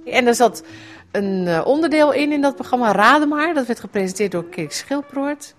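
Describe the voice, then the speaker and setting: A woman's voice: fairly high pitch, animated, normal speed, soft background music. A middle-aged woman from the Netherlands, a writer telling a personal memory in a reflective radio essay with a wry edge.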